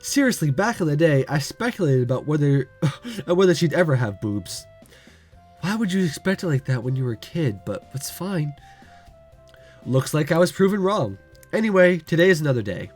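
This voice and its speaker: A man's voice: on the high side, big pitch swings, moderate pace. A man reading through a visual novel and making joking, chatty asides as its host. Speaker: game commentator. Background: soft game music.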